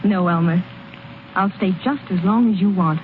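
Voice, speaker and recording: A woman's voice: mid-range voice, normal speed, natural voice. Earnest sweetheart, played by a woman, tinny old radio. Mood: tender. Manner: earnest, gentle but insistent, plain-spoken. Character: loyal, tender, determined young woman, ballplayer's sweetheart. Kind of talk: old-time American radio drama acting. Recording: thin, noisy vintage radio broadcast recording.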